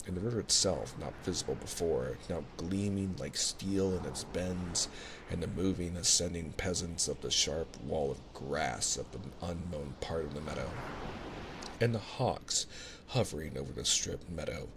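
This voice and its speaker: A man reading a classic novel as an ASMR bedtime story, unhurried and soothing, with a gravelly voice, close on a binaural microphone. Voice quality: very deep, gravelly. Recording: clear background noise, ocean sounds. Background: ocean sounds.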